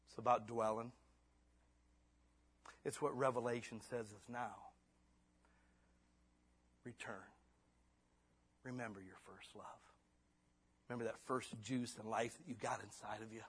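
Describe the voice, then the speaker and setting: A man in his fifties preaching a sermon in church. He swings between hushed, intimate lines and fervent, rising exhortation, then closes in prayer. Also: lowish voice, fairly even intonation, measured pace, pauses often, breathy edge. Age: 50s. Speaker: pastor.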